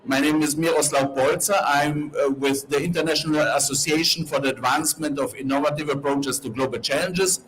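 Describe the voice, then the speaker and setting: A man opens a conference session with a formal, courteous welcome, pausing on small fillers. His voice sits a bit high for a man. A faint hum of ambience lies under him. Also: fairly even intonation, fairly bright tone, low-quality recording. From Carinthia, Austria. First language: Slovene. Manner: polite, with hesitant fillers. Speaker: event host. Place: conference pavilion.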